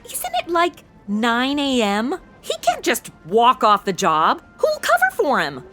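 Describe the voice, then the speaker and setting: Woman playing snarky modern gal sidekick, highish bright voice, blunt, super animated. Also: unhurried, soft background music, exaggerated voice. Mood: sardonic. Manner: sarcastic, casual, blunt. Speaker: show's lead and host. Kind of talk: comedy audio drama acting.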